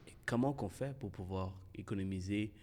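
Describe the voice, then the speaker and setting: Man, podcast host, low voice, halting, casual. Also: darkish tone. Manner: halting and hesitant, many restarts, laughs often, mixes in English.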